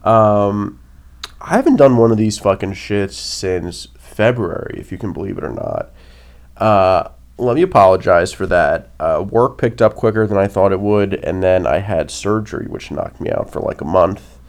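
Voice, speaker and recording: A man's voice: lowish voice, normal speed. A man hosting his own political podcast, sounding nasal from a cold, blunt and opinionated, with many ums and rhetorical "right?"s. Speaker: podcast host. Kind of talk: casual political commentary podcast.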